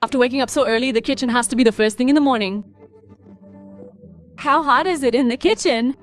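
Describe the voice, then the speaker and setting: High-voiced weary young daughter-in-law played by a woman. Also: brisk, faint music behind, close to an everyday voice. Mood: weary, resigned. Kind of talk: dubbed cartoon family story dialogue.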